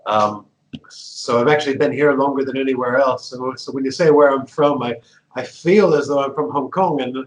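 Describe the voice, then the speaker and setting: A man's voice: mid-range voice, normal speed, poor audio. Chatty American man telling stories. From United States. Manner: chatty, laughs often, many fillers and restarts. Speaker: guest. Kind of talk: casual interview storytelling.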